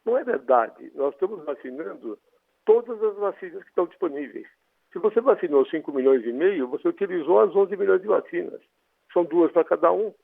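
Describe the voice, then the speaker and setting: A man with a Brazilian Portuguese accent, an infectious disease doctor calmly explaining in a radio interview by phone. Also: high voice, fairly quick, creaky, no room sound. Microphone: telephone. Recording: tinny phone line.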